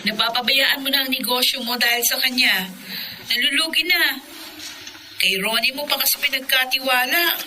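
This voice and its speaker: An actress with a Filipino accent playing an exasperated younger sister in a radio drama, sharp, nagging and questioning. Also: female voice, high voice, normal speed, pauses often, a little hiss or hum, low-quality recording. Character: exasperated younger sister scolding her older sister.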